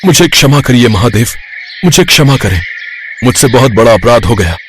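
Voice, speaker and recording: A man's voice: mid-range voice, animated, moderate pace, background noise, natural voice. A man voicing a frightened god of death begging in a devotional story. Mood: panicked, pleading.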